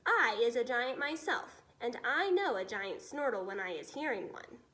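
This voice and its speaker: Storybook role: kindly giant , voiced by a woman, assured, muddled grammar, animated pitch swings. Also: normal speed. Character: friendly, confident male giant with muddled grammar.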